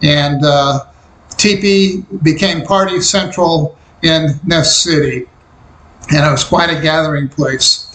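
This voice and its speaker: An older man from Kansas tells his life story in a calm, reflective manner, taking his time over each memory. His voice sits a little high for a man. The sound carries a noticeable room echo. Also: slow. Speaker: interviewee recalling his life. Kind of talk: personal memoir narration. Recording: roomy echo.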